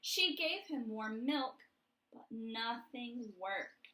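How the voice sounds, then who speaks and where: A woman's voice: a little high, animated, slow pace, sharp tone. A woman reading a children's picture book aloud expressively, as if to a class. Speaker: storybook reader for a class.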